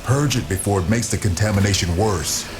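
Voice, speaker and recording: Man acting a grave, low-voiced ship guide, loud music. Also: fairly quick, lightly acted. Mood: urgent, commanding. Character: grave, mournful man guiding a fighter aboard a haunted ship. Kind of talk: video game mission dialogue. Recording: game music loud behind the voice. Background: loud game music.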